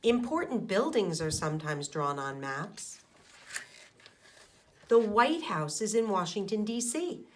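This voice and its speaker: Slow-paced woman teacher reading to children. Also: mid-range voice, big pitch swings, slight background noise. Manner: simple, deliberate phrasing for young children, with questions to listeners. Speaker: elementary school teacher. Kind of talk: children's picture book read-aloud with explanations.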